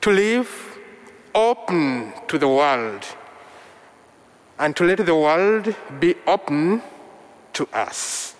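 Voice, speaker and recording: A man from Uganda whose first language is Luganda, preaching a sermon in slow, measured short phrases. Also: on the high side, lively intonation, a little hiss or hum. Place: church. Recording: long reverb of a large hall.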